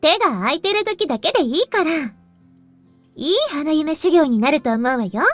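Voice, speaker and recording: A woman's voice: high-pitched, animated, quick pace, faint music behind. An actress playing a cheerful, coaxing young shrine woman in a Japanese visual novel. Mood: teasing.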